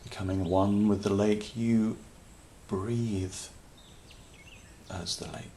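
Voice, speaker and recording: A man leading a guided meditation in a calm, soothing manner, leaving long pauses between short phrases. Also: lowish voice, slight background noise. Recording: nature ambience with birdsong behind voice. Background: natural sounds, birds.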